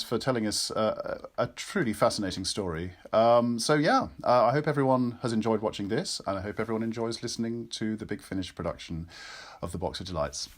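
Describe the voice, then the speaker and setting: A man hosting a conversational interview, articulate and effusive, with emphatic phrasing. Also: medium pitch. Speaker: interviewer and host, actor.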